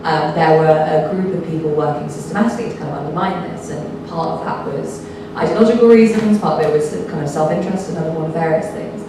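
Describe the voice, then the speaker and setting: Croaky woman speaking in an echoey hall. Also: low-pitched, fast. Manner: explanatory, many fillers like um and kind of. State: slightly croaky voice. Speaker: think tank researcher, panel speaker. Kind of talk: public talk at a panel event. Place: event hall. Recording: echoey hall, from far off, noisy.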